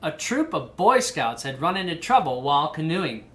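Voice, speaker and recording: A woman reading a children's storybook aloud in an animated style. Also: low-pitched, normal speed. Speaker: storybook reader.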